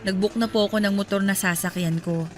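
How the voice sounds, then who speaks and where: A woman's voice: mid-range voice, steady tone, fairly quick, soft background music, lightly acted. A woman playing a polite, caring young woman. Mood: gentle. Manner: respectful, reassuring. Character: devoted young widowed fiancée, caring and polite. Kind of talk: radio drama acting.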